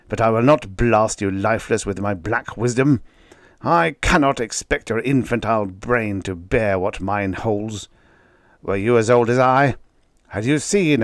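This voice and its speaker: A man's voice: lowish voice, no room sound, clean studio sound, lightly acted. A man voicing an ancient, arrogant male sorcerer who rants and mocks in archaic speech. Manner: ranting, contemptuous, archaic speech.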